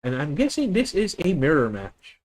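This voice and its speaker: A man's voice: on the high side, huge pitch swings, normal speed, poor audio. A man with a Filipino accent streaming a card game, methodically thinking aloud and correcting himself as he plans each move. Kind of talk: live deck-test commentary.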